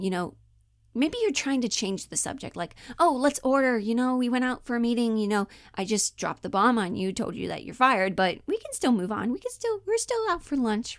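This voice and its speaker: A woman giving a casual, chatty acting lesson, slangy and animated, slipping in and out of character as she explains. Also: medium pitch, no room sound, studio quality. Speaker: acting teacher.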